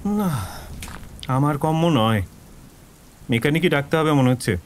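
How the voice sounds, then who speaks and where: A man's voice: fairly high pitch, lively intonation, measured pace. A man playing a practical, wary driver stranded at night in an audio drama, speaking in a matter-of-fact, cautious way. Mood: resigned. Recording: rain and thunder ambience behind voice. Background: rain, thunderstorm.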